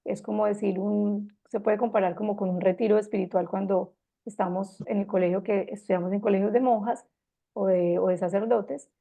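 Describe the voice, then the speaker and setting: A Colombian woman in her fifties with a Medellín accent, gently giving a reflective personal talk with frequent pauses and restarts. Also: mid-range voice, creaky. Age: 50s.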